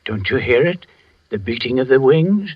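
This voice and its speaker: A man's voice: mid-range voice, animated, moderate pace, husky. An actor playing a fanatical, ominous old handyman who preaches doom in a 1940s radio suspense drama. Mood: ominous, fervent. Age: older. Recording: muffled old radio recording.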